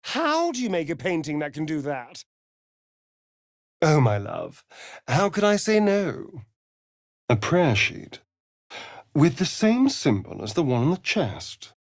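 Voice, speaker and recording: A man's voice: a little high, huge pitch swings, slow pace, long pauses, no room sound, studio quality, heavily acted. A male actor voicing a sly, vain vampire rogue in a fantasy video game, with an arch, flamboyant, sardonic drawl. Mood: seductive, pleased.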